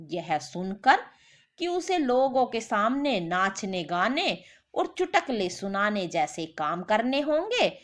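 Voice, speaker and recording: A woman's voice: medium pitch, very animated, slow pace. A woman narrating a scripted story as a storyteller.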